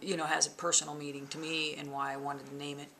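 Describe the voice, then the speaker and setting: A woman in her forties, a bass player and songwriter, chatting casually in an interview at home, lively with lots of fillers. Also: fast talker, vocal fry, slight background noise. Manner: lively, chatty, laughs often, many fillers. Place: living room at home. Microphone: camera in front, close framing.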